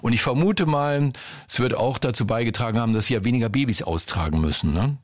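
A man hosts a radio quiz, chatting loosely with callers and tossing in jokes and tag questions. His voice sits at an ordinary middle pitch. He sounds close to a studio mic. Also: animated, quick pace. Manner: chatty, joking, uses filler tags. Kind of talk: casual radio phone-in chat.

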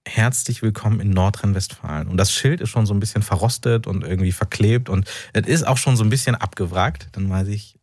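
A man from North Rhine-Westphalia, an author and journalist, speaking as a radio interview guest in a thoughtful, halting way with many fillers. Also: lowish voice, darkish tone, no room sound.

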